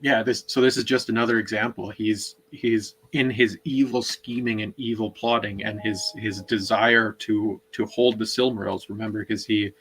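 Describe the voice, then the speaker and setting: A man's voice: lowish voice, steady tone, moderate pace, few pauses, a bit bright, a little hiss or hum, low-quality recording. A man co-hosting a book discussion, explaining things in a knowledgeable, conversational way. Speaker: co-host.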